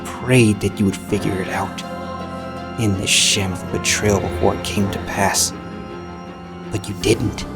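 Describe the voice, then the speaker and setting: A man narrating fan fiction in the first person as an anguished, guilt-ridden living book, measured, halting and melodramatic. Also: fairly low pitch, little pitch movement, loud music behind the voice. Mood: brooding.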